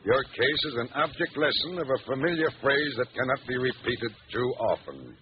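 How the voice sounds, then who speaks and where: An actor playing a stern, solemn judge in an old radio drama, formal, deliberate and moralizing. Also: male voice, medium pitch, lively intonation, natural voice. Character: stern, solemn male judge passing sentence. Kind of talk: 1940s radio drama acting. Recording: dull old radio recording, faint noise.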